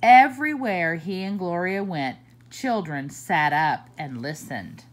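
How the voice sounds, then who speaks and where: Woman, storybook reader for kids, unhurried, big pitch swings, lowish voice. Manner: expressive, slow and deliberate, swooping pitch. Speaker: children's librarian reading aloud. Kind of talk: storybook read-aloud.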